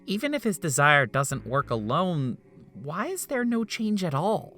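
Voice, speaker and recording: A man's voice: on the high side, sing-song, unhurried, bright, forceful tone, faint music behind, heavily acted. A man voicing a sarcastic, impatient male doctor, wry and exasperated. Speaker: voice actor in a fan game dub.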